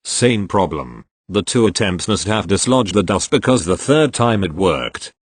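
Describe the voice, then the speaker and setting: A man's voice: sits low, measured pace, breathy edge, dry recording. A man narrating retail stories read from online posts, voicing the people in them as he goes. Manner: expressive reader voicing each character in turn. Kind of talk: scripted storytelling narration.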